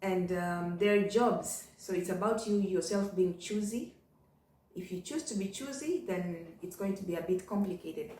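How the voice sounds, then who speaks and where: A woman's voice: medium pitch, moderate pace, darkish tone. Woman vlogging, candid and chatty, echoey room, sounds a bit far from the mic. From Kenya. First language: Ugandan. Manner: candid, repeats phrases, frequent "you know". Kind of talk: casual reflective monologue.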